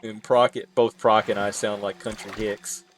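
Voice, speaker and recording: Halting man with a lowish voice. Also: level tone, slight background noise. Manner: hesitant, halting with many uh's. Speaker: streamer co-host. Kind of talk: casual gaming stream chat.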